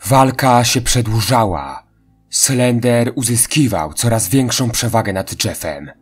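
A man with a husky, mid-pitched voice narrates a horror story. His reading is scripted and dramatic, set over faint background music. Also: expressive, a little slow.

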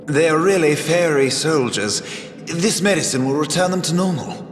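An actor voicing a sly, suave talking frog who was once human, in a teasing, measured video game performance. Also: male voice, a little high, slight background noise, close to an everyday voice. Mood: sly, matter-of-fact. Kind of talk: scripted video game voice acting. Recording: roomy hall reverb, music underneath.

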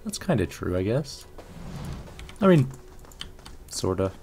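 A man's voice: fairly low pitch, very animated, slow pace, warm tone. A man giving casual let's play commentary on a video game as he plays. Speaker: gaming video host. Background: game music and effects.

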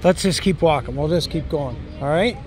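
A man's voice: on the high side, moderate pace. A man walking and filming as he goes, musing aloud in a rambling way with frequent "right?" tag questions. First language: English. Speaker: travel vlogger. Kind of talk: casual walking commentary. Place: outdoors on city streets. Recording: outdoor street noise. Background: traffic and street noise.

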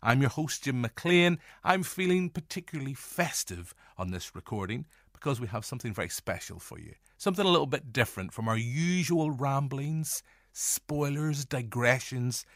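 A man with a Northern Irish accent hosting a podcast, introducing it in playful, deliberate asides to the listener. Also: medium pitch, lively intonation, no room sound, clean studio sound. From Northern Ireland. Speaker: podcast host. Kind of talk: scripted-sounding podcast introduction.

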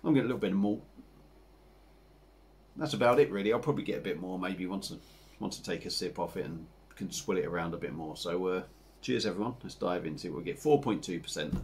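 A man in his forties with an English accent, chatting casually to camera as he reviews a beer at his home bar, rambling and relaxed with lots of fillers. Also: deep voice, fast talker. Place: home bar room with dartboard and signs.

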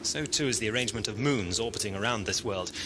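A man playing an erudite, excitable time-travelling adventurer in an audio drama. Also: lowish voice, fast talker, slight background noise, lightly acted. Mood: absorbed, explaining. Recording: loud music and effects behind.

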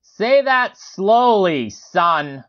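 A man voicing a small, stern, condescending man in a story, with a drawn-out patronizing delivery. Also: high voice, expressive, a bit bright, highs cut off, voice pushed up.

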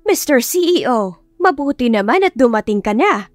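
A woman's voice: fairly high pitch, sing-song, normal speed, no room sound, soft background music. A woman voicing impatient, haughty business associates with a complaining, condescending manner in an audiobook. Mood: impatient, snobbish. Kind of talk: dramatised audiobook dialogue.

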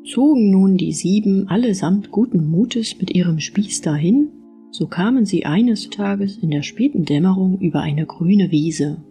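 A woman narrating a fairy tale audiobook in an expressive storytelling style. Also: mid-range voice, a little slow, soft background music.

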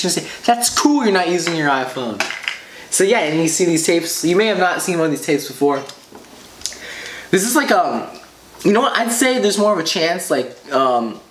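A man's voice: a little high, animated, brisk, a bit bright. A teenage boy chatting casually to viewers, enthusiastic and rambling, often repeating himself, recorded indoors a short distance from the camera. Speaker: vlogger. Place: small room indoors at a table. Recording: roomy echo, clear background noise.

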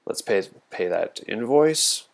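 A man presenting a casual software walkthrough as a product demonstrator, explaining things informally step by step. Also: mid-range voice, expressive, slow, creaky. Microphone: voice-over recorded over a screen demo.

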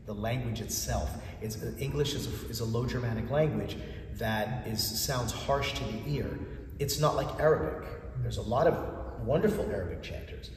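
Man in his fifties, Greek first language, church chanter, animated storyteller, far from mic, long echo. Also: mid-range voice, moderate pace, slight background noise. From United States. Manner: animated storyteller, acts out dialogue in a raised voice. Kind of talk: storytelling interview answers. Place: large church interior. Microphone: far camera.

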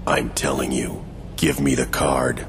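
An actor playing a stern, brooding masked vigilante in a video game, with hard, terse, commanding deadpan delivery. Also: male voice, measured pace, a loud crowd. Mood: stern, controlled. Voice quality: very deep, hard. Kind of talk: video game cutscene acting.

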